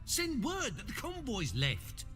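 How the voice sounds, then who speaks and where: A meek, excitable shapeshifter in a fantasy game. The high male voice swoops wildly as he frets, ringing with reverb over music. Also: unhurried, exaggerated voice. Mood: fretful. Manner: fretful, questioning. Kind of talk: video game voice acting.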